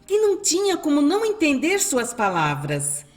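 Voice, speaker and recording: An actress plays an admiring female spirit in a radio drama. Her voice is fairly high and very animated, with earnest, formal phrasing. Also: normal speed.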